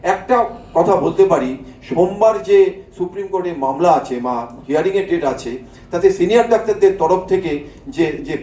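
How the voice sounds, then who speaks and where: High-pitched man speaking in an echoey hall. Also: lively intonation, normal speed, warm tone. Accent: West Bengal. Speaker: doctor speaking at a convention. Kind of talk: public speech at a mass convention. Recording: echoey hall PA, distant mic.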